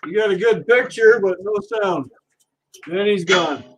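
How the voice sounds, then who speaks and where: A man's voice: high voice, measured pace, slight background noise, rough recording. A man hosting a casual livestream, chatting easily with viewers while he works on scrap. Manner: easygoing, chatty, talks to viewers while working. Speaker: livestream host.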